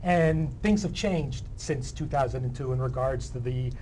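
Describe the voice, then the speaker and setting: A man answering interview questions as a playwright guest, conversational, with halting and hesitant phrasing. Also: mid-range voice, mellow, rough recording. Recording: faint background room noise.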